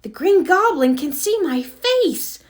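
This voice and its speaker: A woman's voice: extremely high pitch, big pitch swings, slow, pitched above the performer's own voice, heavily acted. A woman voicing a plucky, earnest young male superhero in a children's storybook, with emphatic delivery. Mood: startled. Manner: emphatic, exclamatory. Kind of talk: children's storybook read-aloud.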